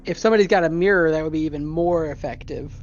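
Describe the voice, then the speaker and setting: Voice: medium pitch, quick pace. A tabletop game player chatting casually and giving practical advice, in dull audio with some noise.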